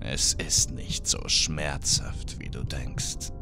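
A woman voicing a sinister little man with a smeared face, speaking in a cold, heavily pitched-down voice. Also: steady tone, full character voice. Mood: menacing. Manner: slow, cold, menacing taunts. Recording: music heard clearly behind.

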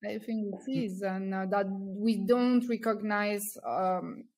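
A woman in her thirties, a guest on a video call from a small room, talking things through thoughtfully with many pauses and ums. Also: mid-range voice, fairly even intonation, a little mellow. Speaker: guest, founder. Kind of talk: casual interview chat. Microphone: laptop webcam on video call.

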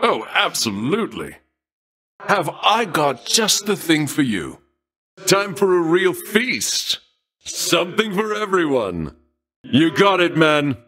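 A man's voice: fairly high pitch, big pitch swings, takes it slowly, a bit bright, full character voice. A man voicing a laid-back, jovial wine god, speaking with a lazy, easygoing drawl. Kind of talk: video game voice lines.

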